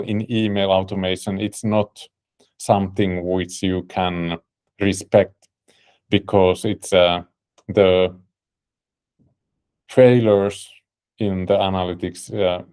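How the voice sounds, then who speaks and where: A man's voice: on the low side, darkish tone. A man with a Finnish accent, a native Finnish speaker, hosting a business webinar and presenting in English with many fillers and restarts. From Finland. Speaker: webinar host and company CEO.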